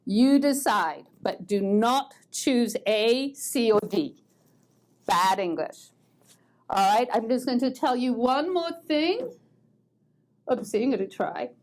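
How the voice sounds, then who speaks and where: Slow, patient American woman teaching English. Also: a little high, expressive, darkish tone. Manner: slow, patient, repeats words for drilling. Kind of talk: online grammar lesson. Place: language school in Boston.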